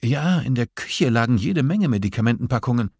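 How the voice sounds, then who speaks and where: Male reader as anxious female investigator in an audiobook thriller: raised pitch, husky, hesitant, agitated, searching for details. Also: expressive, brisk, darkish tone, no echo, clean studio sound. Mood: searching, tense. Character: anxious female police investigator, flustered under pressure. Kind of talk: audiobook dialogue acting.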